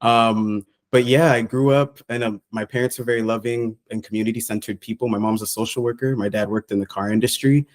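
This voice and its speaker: A man in his thirties, raised in Southern California, chatting in an upbeat, lively way and laughing often. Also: fairly low pitch, a little fast, hardly any pauses. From Costa Rica, raised in Southern California. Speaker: podcast guest and consultant. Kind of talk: casual chat.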